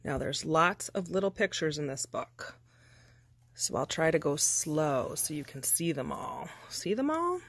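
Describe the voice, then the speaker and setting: A woman reading a children's picture book aloud with deliberate, expressive, measured phrasing. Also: sits low, no echo. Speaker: storybook reader.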